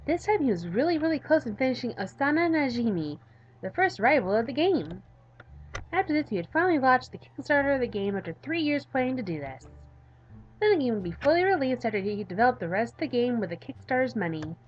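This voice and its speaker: A woman's voice: fairly high pitch, very animated, fast, highs cut off, a little hiss or hum. A woman with a cold giving theatrical, playful let's play commentary as she reads a visual novel aloud. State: has a cold, coughing. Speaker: gaming video host.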